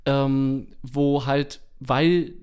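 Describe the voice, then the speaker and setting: A man's voice: medium pitch, steady tone, drawn-out words, darkish tone, clear, resonant tone. A man hosting a podcast in casual conversation, speaking informally and with enthusiasm. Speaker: podcast host. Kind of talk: casual chat.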